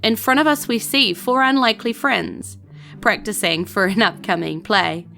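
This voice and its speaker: A woman narrating a scripted fantasy audio drama in a deliberate, portentous storytelling manner. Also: medium pitch, steady tone, vocal fry, bright, forceful tone, soft background music. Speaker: game master narrator.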